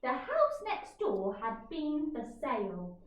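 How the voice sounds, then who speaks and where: A woman's voice: high-pitched, wildly swooping pitch, slow. A woman reading a storybook aloud expressively, as a teacher does for young children. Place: school room with young children present. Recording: very echoey room, distant mic.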